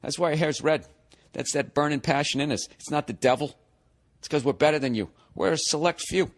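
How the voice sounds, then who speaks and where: A man's voice: a little high, a little fast. A man in his forties, a podcast host reading a listener email and ranting sarcastically and profanely about it. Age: 40s. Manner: mocking, exasperated. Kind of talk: comedic podcast rant.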